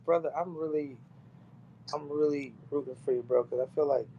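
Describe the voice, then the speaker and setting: A man's voice: on the high side, steady tone, brisk, lo-fi sound, a little hiss or hum. A man interviewing a guest in casual chat, slangy and enthusiastic, saying yo and bro.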